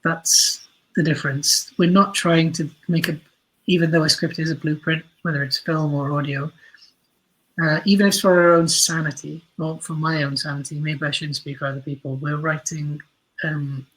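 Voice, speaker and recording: A man giving reflective interview answers as a writer, in a halting, emphatic way, repeating phrases for stress. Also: fairly high pitch, flat delivery, fairly bright tone, slightly rough, poor audio. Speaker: guest, audio drama writer.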